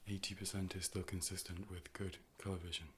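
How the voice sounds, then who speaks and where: A man playing a calm, polite robotic AI optician in an ASMR role play, speaking in a measured, formal, deadpan way. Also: sits low, a little hiss or hum. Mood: calm, clinical. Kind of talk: ASMR medical role play, personal attention.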